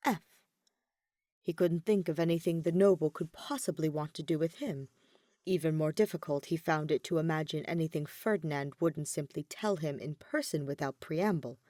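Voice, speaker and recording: A woman narrates a story from a script. Her tone is soft and dark, a bit lower than most women, and the recording is close and dry with no room around it. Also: dry recording. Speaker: fan fiction narrator.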